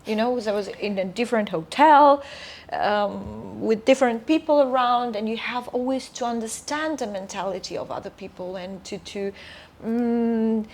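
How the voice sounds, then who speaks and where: A woman's voice: fairly high pitch, moderate pace, a little mellow. A woman from Romania, a native Romanian speaker and opera soprano, talking reflectively in a casual interview with many fillers and restarts.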